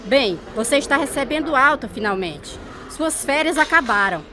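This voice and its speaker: A woman's voice: sits high, animated, normal speed. An actress playing a harsh, bitter middle-aged mother in a Brazilian Portuguese radio drama, with a scolding, cutting delivery. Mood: cold scorn. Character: harsh, bitter mother, spiritist, scornful and unforgiving.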